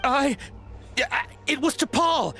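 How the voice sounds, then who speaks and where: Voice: high-pitched, animated, slow, pushed and bright, faint music behind, heavily acted. A performer voicing a cowardly, panicky poacher in a game, stammering and whiny. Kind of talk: game dialogue.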